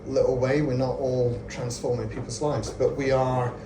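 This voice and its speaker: A man's voice: mid-range voice, level tone, normal speed. Chatty man giving a talk in an echoey room. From Scotland. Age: 40s. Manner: friendly, self-deprecating, conversational with many fillers. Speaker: conference speaker. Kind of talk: lightning talk at a meetup. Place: event venue with audience. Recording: echoey room with ambient noise.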